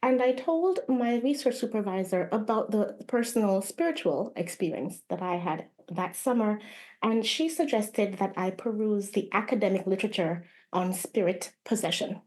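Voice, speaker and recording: A woman with a medium-pitched voice is interviewed as a playwright. She tells her own story in an expressive, conversational way, sometimes voicing quotes. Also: moderate pace. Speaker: guest playwright.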